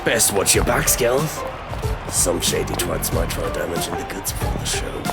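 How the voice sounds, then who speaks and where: A man playing a blunt arena enforcer who talks in a rough, street-style way with a gravelly voice. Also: deep voice, lively intonation, normal speed, over loud music. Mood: gruff.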